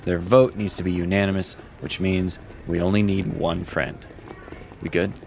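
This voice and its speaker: An actor playing a stern, protective officer in an audio fantasy drama, speaking in a curt, controlled, authoritative way. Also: male voice, sits low, little pitch movement, normal speed, gravelly, a little mellow, faint music behind. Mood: measured, urgent.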